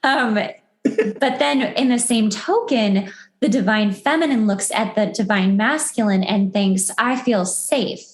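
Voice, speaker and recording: Lady podcast host, echoey sound, casual and super enthusiastic, medium pitch. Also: slow. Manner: enthusiastic, emphatic. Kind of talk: casual conversational interview.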